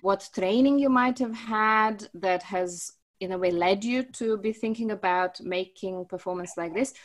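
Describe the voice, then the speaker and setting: A woman's voice: medium pitch, a little slow. Woman asking long, winding questions. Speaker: interviewer. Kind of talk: discussion interview hosting.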